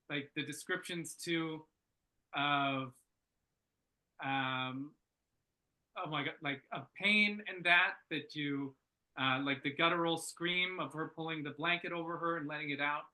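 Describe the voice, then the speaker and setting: Bright-voiced man giving halting, informal feedback. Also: fairly high pitch, poor audio. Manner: halting, thinking aloud. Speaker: script reader giving feedback. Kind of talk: casual workshop feedback discussion.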